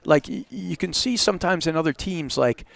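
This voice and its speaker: A man talking through a match as a commentator, loose and conversational, with a slightly higher voice than most. The sound is dry and close, with no room around it. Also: lively intonation, normal speed, creaky, no room sound. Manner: chatty, conversational, fillers like "you know". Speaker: play-by-play commentator. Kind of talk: sports commentary wrap-up.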